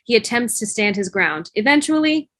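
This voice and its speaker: A woman's voice: on the high side, lively intonation, normal speed, a bit bright. A woman reading scripted stage directions as narration on a video call. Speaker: stage-direction reader in a table read.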